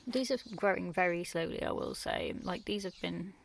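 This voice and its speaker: A woman from the UK, croaky from just waking up, giving a quiet, half-whispered tour of her back garden in the early morning. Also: on the low side, moderate pace. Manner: hushed, half-whispered talk. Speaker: gardening vlogger. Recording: noisy outdoor recording with birdsong.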